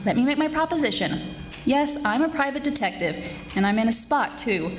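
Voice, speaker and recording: A woman playing a brash, wisecracking young private detective in a 1940s-style radio drama, sassy and quick-witted. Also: high-pitched, animated, soft background music. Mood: pushy, confident. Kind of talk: 1940s radio detective acting, dialogue and narration. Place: live stage performance of a radio play.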